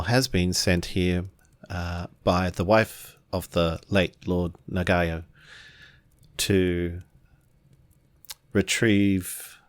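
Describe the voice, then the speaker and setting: Man playing a hesitant, low-voiced samurai retainer. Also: dry recording. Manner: hesitant, halting. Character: awkward, apologetic, courteous samurai retainer.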